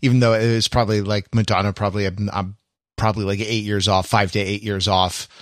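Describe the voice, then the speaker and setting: A man's voice: fairly low pitch, little pitch movement, a little fast, fairly bright tone, dry recording, clean studio sound. A man from the United States co-hosting a podcast in casual chat, wry and hesitant, with many fillers. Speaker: podcast co-host.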